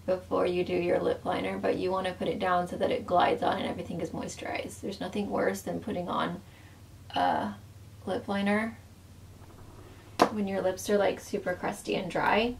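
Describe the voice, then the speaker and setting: Raspy woman losing her voice. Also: mid-range voice, distant mic, slight background noise. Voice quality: voice cracks often. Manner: chatty, many "like" fillers. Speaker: beauty vlogger, former makeup artist. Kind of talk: casual makeup tutorial chat.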